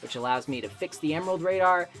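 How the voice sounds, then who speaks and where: A man's voice: fairly high pitch, lively intonation, fast, fairly bright tone. A man delivering scripted video essay narration in an emphatic, conversational and wry manner. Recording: music playing behind the voice. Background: background music.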